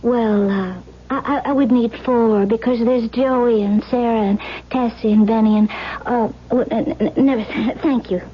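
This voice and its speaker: High, husky, wily young woman. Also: fairly even intonation, unhurried. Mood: meek, tearful. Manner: hesitant, breathy, pleading. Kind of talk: old-time radio drama acting. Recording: muffled old radio drama recording.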